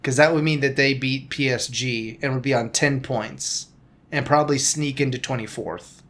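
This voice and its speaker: A man hosting a soccer podcast in loose, casual chat. He rambles, restarts sentences and corrects himself as he goes, at an ordinary mid-range pitch. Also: normal speed, fairly bright tone. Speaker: podcast host.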